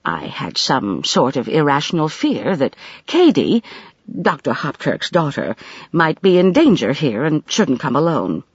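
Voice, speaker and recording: A man's voice: fairly high pitch, wildly swooping pitch, measured pace, breathy edge, no echo, lo-fi sound, exaggerated voice. A man playing an inquisitive, kindly woman visitor in an audiobook, questioning frankly. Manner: questioning, frank, easily startled.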